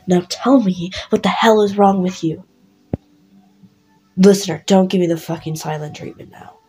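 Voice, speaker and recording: A man's voice: high-pitched, a little mellow, low-quality recording. A woman voicing an angry, pushy young male best friend who swears and confronts.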